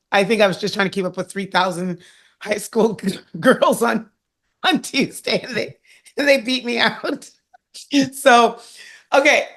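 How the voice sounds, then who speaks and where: A woman hosting a podcast, introducing her guest in a bubbly, laughing, animated way with a husky voice. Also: mid-range voice. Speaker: podcast host. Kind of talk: energetic interview intro.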